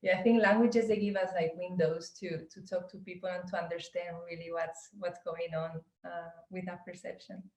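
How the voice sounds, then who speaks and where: A woman's voice: lowish voice, fairly even intonation, moderate pace, hardly any pauses, darkish tone, reverberant, low-quality recording. A woman interviewing a guest in a friendly, affirming way, with frequent hesitant restarts. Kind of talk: conversational interview.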